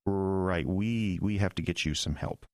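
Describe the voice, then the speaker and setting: An actor playing an earnest, skeptical young pastor with a hesitant, halting way of talking in an audio drama. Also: male voice, low voice, dry recording, studio quality. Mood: concerned.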